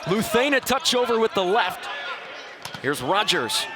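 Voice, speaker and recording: A man calling live volleyball play-by-play as a TV announcer, getting excitable on big plays in an indoor arena. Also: high-pitched, a little slow. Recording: loud crowd and arena noise. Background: crowd, bouncing balls, music.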